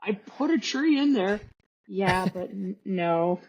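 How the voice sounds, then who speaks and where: A woman's voice: mid-range voice, expressive, slow pace, a little mellow, husky. A woman with a German accent chatting casually as a podcast guest, blunt, irreverent and sweary, on a dull-sounding recording. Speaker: podcast guest, self-taught artist. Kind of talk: casual chat. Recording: dull, band-limited voice track.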